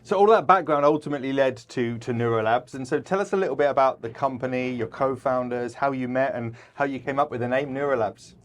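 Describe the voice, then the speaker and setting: A man's voice: medium pitch, fast talker, few pauses. A man hosting a podcast interview in a brewery studio, steering with short affirming prompts. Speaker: podcast host.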